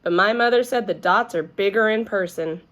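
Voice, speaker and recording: Woman acting an excitable, boastful little schoolgirl. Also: mid-range voice, normal speed, natural voice. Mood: eager. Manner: emphatic, childlike phrasing. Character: excitable, boastful little girl, chatty first-grader. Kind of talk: children's storybook read-aloud.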